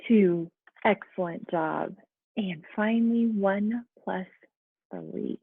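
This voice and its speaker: A woman's voice: medium pitch, slow pace, creaky, a little hiss or hum. A woman teacher speaking encouragingly to young children, as in a lesson and storybook read-aloud, with muffled sound. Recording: muffled, phone-like narrow-band sound.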